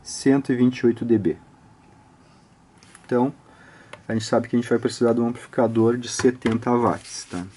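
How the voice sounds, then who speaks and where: A man's voice: mid-range voice, fairly even intonation, moderate pace, long pauses, warm tone, slight background noise. A man with a Brazilian Portuguese accent giving an informal step-by-step technical tutorial, conversational and explanatory, often ending phrases with small tag questions. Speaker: audio electronics instructor, channel host.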